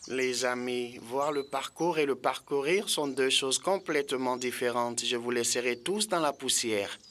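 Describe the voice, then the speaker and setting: Man as a sharp, cocky cartoon racing animal. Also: mid-range voice, measured pace, slight background noise, close to an everyday voice. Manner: cocky, taunting. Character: cocky male racing animal, taunting rivals. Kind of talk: educational cartoon dub acting.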